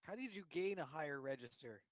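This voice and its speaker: Higher-pitched man bantering over a game. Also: animated, fairly quick, no echo, highs cut off. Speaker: let's-play co-commentator. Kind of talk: casual banter over gameplay.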